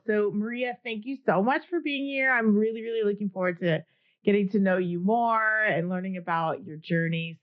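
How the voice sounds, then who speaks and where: A woman's voice: mid-range voice, highs cut off. A woman hosting a podcast, chatty and upbeat, interviewing a guest over a remote call. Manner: upbeat, chatty, laughs often. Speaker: podcast host. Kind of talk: casual interview chat.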